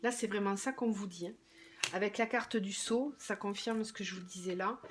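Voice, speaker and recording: A woman with a slightly hoarse voice does a tarot reading at a mid pitch, neither high nor low. She thinks aloud as she goes, hesitant and unhurried, with her sentences often sinking to a softer, quieter voice. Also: noisy background. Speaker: tarot card reader.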